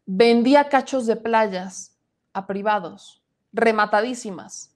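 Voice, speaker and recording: A woman's voice: fairly high pitch, slow, a little mellow. A woman in her thirties hosting a live-streamed news show from a small home studio, reading viewer comments aloud and adding emphatic opinions.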